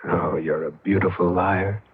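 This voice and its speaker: Skeptical married charmer, lowish husky voice, animated delivery, old radio drama, dull sound. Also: male voice, measured pace, exaggerated voice. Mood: flirtatious. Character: skeptical, flirtatious married man, smooth-talking and uneasy.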